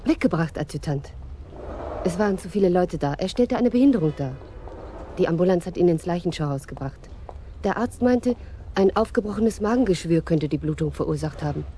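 A woman's voice: fairly low pitch, animated, a little fast, dark tone, slight background noise, natural voice. An actress playing an eager, admiring young policewoman in a radio crime drama. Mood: dutiful report. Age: young adult. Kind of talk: 1980s radio drama acting.

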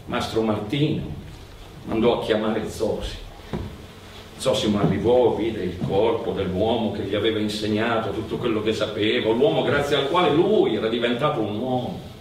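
A man narrating a theatrical monologue in emphatic, measured phrases. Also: lowish voice, a little mellow. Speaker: stage actor and storyteller. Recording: very echoey, distant, faint noise.

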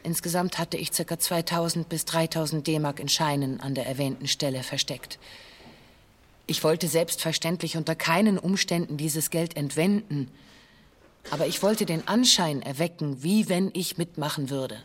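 A woman playing a former hostage giving a formal, measured witness statement in a radio documentary. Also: low voice. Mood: matter-of-fact. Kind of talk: scripted testimony in a radio documentary.

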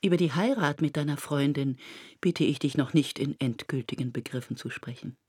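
Husky, reproachful middle-aged mother. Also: deep voice, no echo, studio quality. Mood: restrained reproach. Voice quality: husky, breathy. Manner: measured, formal, hushed. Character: disapproving, anxious, restrained mother of a grown son. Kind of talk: literary radio drama acting.

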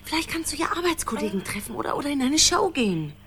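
An actress in a radio play playing an emotional, exasperated but caring friend who speaks haltingly, scolding and soothing. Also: female voice, sits high, sing-song, fairly bright tone. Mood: worried.

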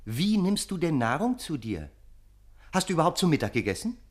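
An actor in a radio play voicing a sensible, concerned friend who questions with dry, exasperated scepticism. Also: male voice, on the high side, big pitch swings, a little fast. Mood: dry, sceptical. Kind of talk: theatrical radio play acting.

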